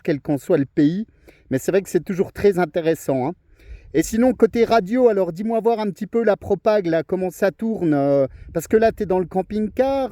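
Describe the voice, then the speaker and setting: A man's voice: high-pitched, warm tone, no echo, studio quality. A man chatting on amateur radio, talkative and hesitant, with many fillers. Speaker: ham radio operator. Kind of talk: casual radio chat.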